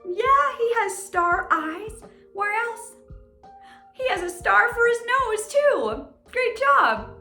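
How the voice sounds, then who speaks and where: Lady teacher talking to little kids, really high singsongy voice, slow, bit echoey w guitar music behind. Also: big pitch swings, creaky, sharp tone. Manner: exaggerated child-directed delivery, asking questions and pausing for answers. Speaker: children's teacher and host. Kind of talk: children's educational lesson and read-aloud. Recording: roomy echo with plucked guitar music. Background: plucked guitar music.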